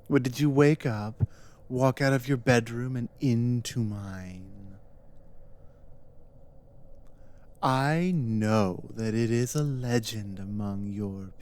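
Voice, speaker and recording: A performer playing a powerful, stern giantess captor in an ASMR roleplay, speaking in a deliberate, menacing and condescending way. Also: medium pitch, darkish tone, lightly acted. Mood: stern, mocking.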